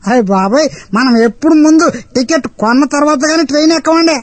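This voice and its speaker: A woman voicing a touchy, talkative rustic man with a North Coastal Andhra accent, protesting loudly at being suspected. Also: high voice, quick pace, no room sound, close to an everyday voice. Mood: indignant. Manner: offended, protesting, long drawn exclamations.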